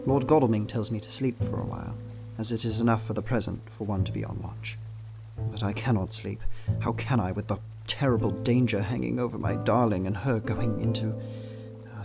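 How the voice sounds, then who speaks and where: An anxious young Victorian husband played by a man records his journal in a radio play. His voice is low and brooding, with formal old-fashioned phrasing, and guitar music plays clearly behind him. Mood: anguished. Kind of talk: dramatized diary narration in a radio play. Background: plucked guitar music.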